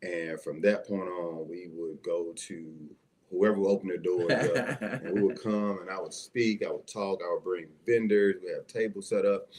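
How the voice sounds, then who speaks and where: A man from Mississippi with a Southern accent and a fairly low voice. He speaks as a church leader giving personal testimony to listeners. His storytelling is folksy and conversational, checking in with the room as he goes. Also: fairly even intonation, normal speed, darkish tone, slight background noise. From Mississippi, United States. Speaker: assistant pastor, interview guest.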